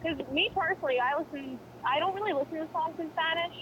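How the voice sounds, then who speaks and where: A woman's voice: very high voice, fast talker, a bit bright, a little hiss or hum, poor audio. A woman co-hosting a podcast, chatting casually and rambling with opinions and fillers. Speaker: podcast co-host. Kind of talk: casual chat.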